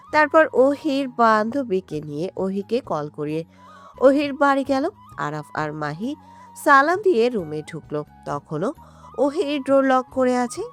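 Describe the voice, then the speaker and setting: Woman narrating with lively, high-pitched delivery. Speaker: story narrator. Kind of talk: dramatic scripted narration of a romantic story. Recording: music behind the voice. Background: background music.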